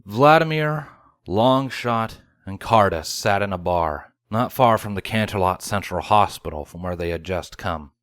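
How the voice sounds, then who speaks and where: Low-voiced man narrating a story, dry sound. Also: expressive, measured pace, fairly bright tone, no room sound. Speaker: fan fiction reader.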